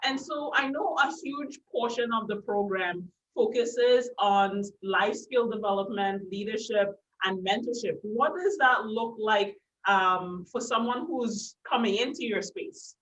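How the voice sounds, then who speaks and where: A woman's voice: medium pitch, a little slow, few pauses, distant mic, slight background noise, poor audio. Upbeat woman host from Trinidad and Tobago. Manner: upbeat, warm praise for guest. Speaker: podcast host. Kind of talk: friendly online interview.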